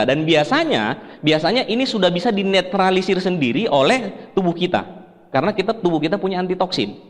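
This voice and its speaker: A man from Sulawesi, Indonesia, giving a health seminar in an explanatory, conversational way, putting questions to his listeners. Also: high voice. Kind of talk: presentation to an audience. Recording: echoey hall with long reverb.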